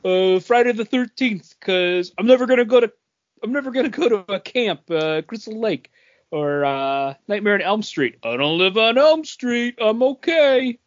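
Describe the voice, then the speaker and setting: A man's voice: high-pitched, animated, normal speed, dry recording, dull audio. A man co-hosting a podcast in casual, conversational chat. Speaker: podcast co-host.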